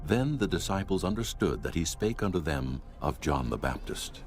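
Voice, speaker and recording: A man narrating scripture in archaic English as a solemn, measured storyteller. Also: low voice, big pitch swings, over music.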